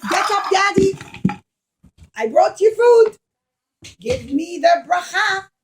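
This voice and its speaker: A woman's voice: extremely high pitch, animated, slow pace, slight background noise, pitched above the performer's own voice, heavily acted. A woman voicing a hot-headed, whiny, aggrieved elder son in a children's puppet show, wailing and complaining. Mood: loud, demanding.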